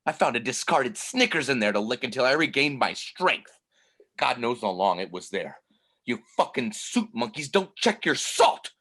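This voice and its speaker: A man playing a gloating, aggrieved masked crime boss with a husky voice and drawn-out theatrical delivery in a comedy play reading. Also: mid-range voice, sing-song, bright, forceful tone. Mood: indignant rant. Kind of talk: table read of a comedy play. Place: remote video call.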